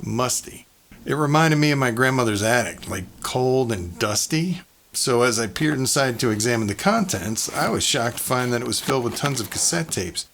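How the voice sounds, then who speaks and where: An actor playing a fussy, rambling neuroscientist who records a personal log in a halting, conversational way. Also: male voice, mid-range voice, expressive, vocal fry, fairly bright tone. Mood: puzzled. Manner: halting, digressive, conversational asides. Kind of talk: audio drama monologue as recorded log.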